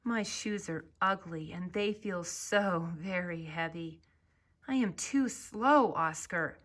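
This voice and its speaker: A woman's voice: fairly low pitch, slow pace, fairly bright tone. A woman voicing a young warrior princess in a children's storybook, speaking with earnest, open emotion. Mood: sad, despairing. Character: young warrior princess, heartfelt protector of her village.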